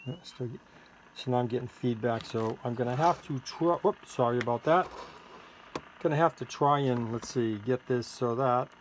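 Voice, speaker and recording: A man giving a casual hands-on product demonstration as a seller, halting and thinking aloud while he works the controls. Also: medium pitch. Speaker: seller demonstrating a homemade electronics gadget. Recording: clearly noisy, with electronic tweets and siren-like tones.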